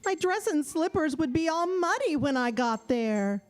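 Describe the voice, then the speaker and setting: Woman playing high-pitched, sweet young Cinderella. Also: very animated, measured pace. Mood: pleading. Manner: wide-eyed, earnest, exclamatory. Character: sweet, eager young Cinderella, wishing and pleading. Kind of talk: children's audio theater acting.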